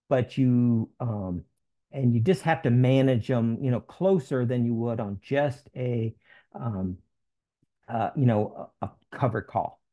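Gravelly, mellow older American man presenting. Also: mid-range voice. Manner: halting, conversational, frequent you-knows. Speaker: options trading meetup presenter. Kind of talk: informal webinar teaching with slides and screen share. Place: home office room. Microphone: headset.

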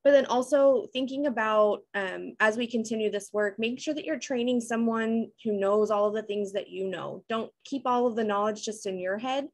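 A woman's voice: fairly high pitch, moderate pace, few pauses. A woman in her thirties moderating a panel over a video call, in a friendly, reassuring manner. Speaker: panel moderator, civic engagement director. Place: indoor room on video call.

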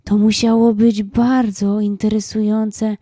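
A woman narrating a children's story in a calm, scripted storytelling style. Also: medium pitch, unhurried, dry recording, clean studio sound.

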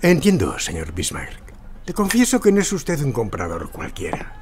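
An actor playing a wily, flattering Lebanese antiquities dealer in an audio drama. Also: male voice, medium pitch, moderate pace. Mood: flattering, sly.

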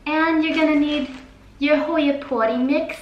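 A young woman voicing a bossy, fast-talking East Coast woman with a mock Rhode Island or Queens accent, loud and scolding. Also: sits high, steady tone, muffled recording, a little hiss or hum, pitched above the performer's own voice. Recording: echoey living room.

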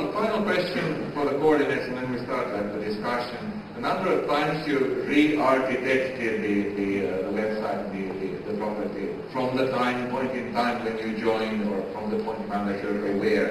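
Man moderating a panel in an echoey hall. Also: fairly low pitch. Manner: halting, repeats words while phrasing questions. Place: large conference hall stage. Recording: echoey hall from far off with background noise.

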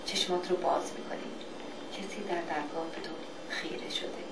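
Woman reading as a low, hushed, mysterious shadow figure. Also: fairly even intonation, close to an everyday voice. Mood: somber, incantatory. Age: middle-aged. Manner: deliberate, often hushed, repeating words. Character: mysterious shadowy female presence, calm, hypnotic, beckoning. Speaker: actor reading a play. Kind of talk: dramatic, poetic second-person play reading. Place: indoor hall or classroom, staged reading. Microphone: dynamic mic on table stand. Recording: echoey, noisy live hall, distant.

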